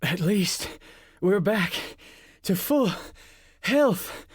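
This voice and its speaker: A man's voice: high voice, animated, very slow, slightly rough, no room sound, clean studio sound, pitched above the performer's own voice, heavily acted. A man voicing a cocky, cheerful young rogue with a breezy, wry manner in an audiobook.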